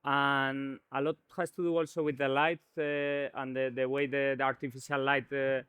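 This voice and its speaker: Male artist guest, smooth flat delivery, halting and searching for words. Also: mid-range voice, dry recording. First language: Spanish. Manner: hesitant, searching for words, many fillers. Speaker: painter, interview guest. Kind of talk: conversational art talk.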